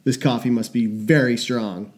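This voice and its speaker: A young man co-hosting a podcast in casual chat, animated and quick to laugh. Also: mid-range voice. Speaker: podcast co-host.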